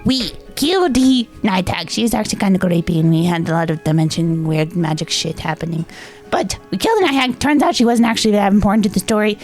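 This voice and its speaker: A woman voicing a small, childlike, excitable storyteller in a tabletop game, rambling bluntly and getting flustered, with music playing behind. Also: mid-range voice, fast talker, close to an everyday voice. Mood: eager, flustered.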